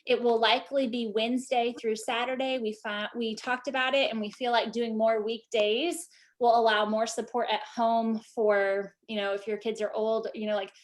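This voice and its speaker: A woman's voice: fairly high pitch, flat delivery, normal speed. A woman leading a team video call, talking casually with many fillers and restarts. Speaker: team leader.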